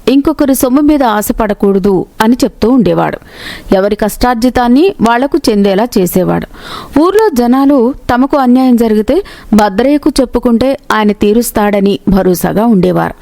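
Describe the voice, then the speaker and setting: Female narrator for moral stories, highish pitch, very mellow tone, close dry sound. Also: animated, moderate pace, no echo. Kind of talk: scripted moral-story narration.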